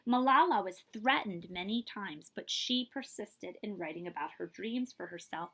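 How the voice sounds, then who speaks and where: A woman reading a children's picture book aloud in an expressive, emphatic storytelling manner, like a librarian at story time. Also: mid-range voice, moderate pace, low-quality recording.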